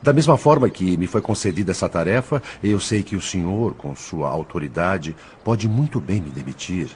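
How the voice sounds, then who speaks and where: A man's voice: low-pitched, lively intonation, normal speed, darkish tone, slightly rough, full character voice. A Brazilian man acting an emotional, indignant spirit father in a dramatized audiobook. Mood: contrite. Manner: emotional, theatrical. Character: indignant, anguished spirit father protective of his daughter.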